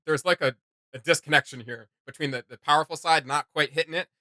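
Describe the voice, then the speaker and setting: A man's voice: on the high side, fast talker, bright, forceful tone, no room sound. A man hosting a reaction video, giving casual, opinionated commentary in an analytical, emphatic way.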